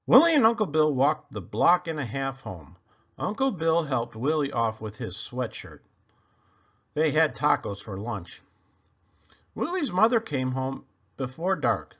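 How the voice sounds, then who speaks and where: A man reading a children's picture book aloud in an expressive, animated and deliberate storytelling manner. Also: medium pitch, highs cut off.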